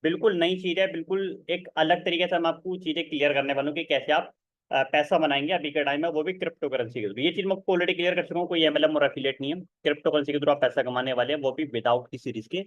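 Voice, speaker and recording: A man presenting a webinar sales pitch, persuasive, keeps checking in with his listeners. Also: steady tone, fast talker, dry recording, highs cut off. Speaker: online seminar presenter.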